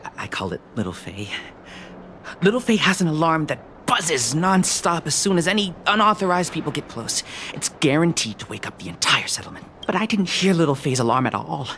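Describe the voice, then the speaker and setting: An actor voicing a nervous, earnest amateur mechanic in a video game, hesitant and stammering. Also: male voice, fairly high pitch, big pitch swings, brisk, bright, forceful tone, a little hiss or hum, full character voice. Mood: puzzled.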